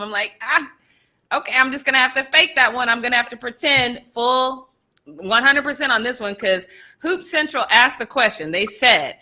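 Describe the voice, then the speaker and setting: A woman's voice: mid-range voice, sharp tone. A woman co-hosting a live sports talk show, chatting playfully and laughing often. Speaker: co-host. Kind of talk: casual sports chat.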